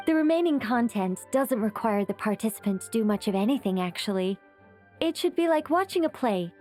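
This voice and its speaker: Businesslike young woman, game role, actress: fairly high voice, self-assured explainer, soft music behind. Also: close to an everyday voice. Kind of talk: anime game voice acting.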